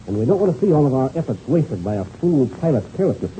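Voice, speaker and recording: A stern, principled lead pilot acted by a man in an old radio adventure serial. His voice is very mellow and fairly high, with lively intonation and a firm lecturing manner, on a muffled old recording. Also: fast talker, slight background noise, lightly acted. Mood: stern, scolding. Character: stern, principled male race pilot, the leader. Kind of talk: 1930s radio serial acting.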